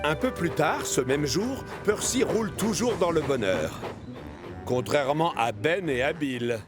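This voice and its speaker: A man narrating a scripted children's cartoon story in an expressive storytelling manner. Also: a little high, unhurried, over music.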